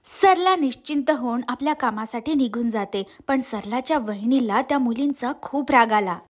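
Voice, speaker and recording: High-pitched female storyteller. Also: normal speed. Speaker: narrator. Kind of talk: scripted story narration.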